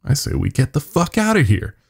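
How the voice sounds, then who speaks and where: A man's voice: fairly high pitch, very animated, a little fast, gravelly, breathy edge, pitched above the performer's own voice, full character voice. A man voicing a blunt, angry, defiant male worker in a horror story. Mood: urgent, fed up.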